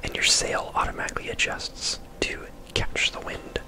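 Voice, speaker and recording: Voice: moderate pace, rough recording. A person whispering casual, chatty game commentary in an ASMR style, rambling off into asides, close to the microphone. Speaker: video game streamer and host.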